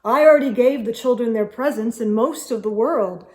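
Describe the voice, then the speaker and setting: A woman voicing a kindly, wise old Santa in a children's storybook, measured, earnest and reassuring. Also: fairly high pitch, close to an everyday voice.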